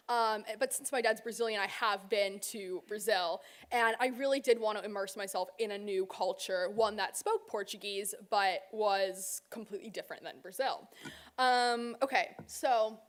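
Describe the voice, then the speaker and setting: A young American woman in her twenties giving an informal, chatty presentation about her internship, with frequent "um" and "you know". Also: mid-range voice. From United States. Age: 20s. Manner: chatty, many fillers.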